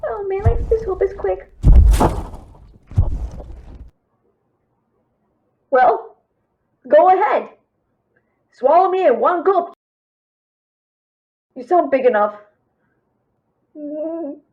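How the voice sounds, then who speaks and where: A very high-pitched young female thief, acted by a woman in a fantasy audio drama. She is cheeky but scared, stammering as she tries to bluff and talk her way out of trouble. Her delivery is nervous and theatrical. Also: expressive, slow pace, long pauses, dull audio, a little hiss or hum, full character voice. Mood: nervous, resigned. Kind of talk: audio roleplay acting, fantasy.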